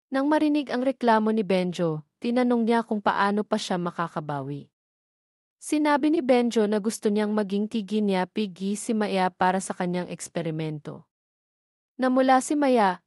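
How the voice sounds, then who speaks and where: A woman with a Filipino accent reading scripted story narration in a formal, measured manner. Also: medium pitch, darkish tone, no echo, clean studio sound.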